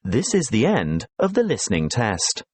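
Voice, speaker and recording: Man reading a lecture with lively intonation. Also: mid-range voice, moderate pace, slightly rough, no echo. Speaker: exam recording narrator.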